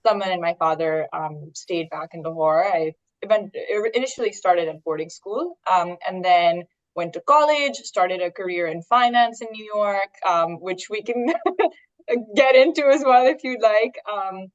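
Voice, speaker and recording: A young woman from Pakistan, a guest on a video call, speaking in a measured way with some fillers. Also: mid-range voice, lo-fi sound. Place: living room with leather sofa.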